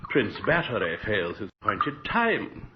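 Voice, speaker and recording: A man's voice: on the low side, huge pitch swings, unhurried. An actor playing a courtly nobleman in a radio drama, speaking in a formal, theatrical manner. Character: courtly nobleman, lord host to His Excellency. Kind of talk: 1980s radio drama acting. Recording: dull, noisy old radio recording.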